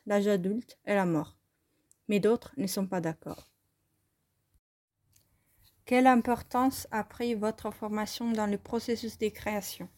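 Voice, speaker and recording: Calm woman reading. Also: medium pitch. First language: Italian. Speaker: photography student presenting her work. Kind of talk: reading a scripted self-interview.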